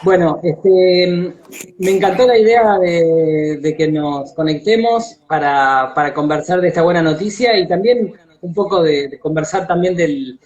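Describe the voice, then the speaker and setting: An Argentine man hosting a casual live-stream interview, speaking haltingly and circling back over his phrases. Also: on the high side, a little mellow. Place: live video call on social media. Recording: dull, lo-fi internet call audio.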